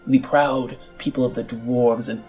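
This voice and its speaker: A man's voice: mid-range voice, steady tone, a little slow, a little mellow, soft background music, lightly acted. A man voicing a flustered, obsequious male servant who stammers and fawns, in a tabletop roleplaying game. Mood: flustered, apologetic. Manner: stammering, fawning.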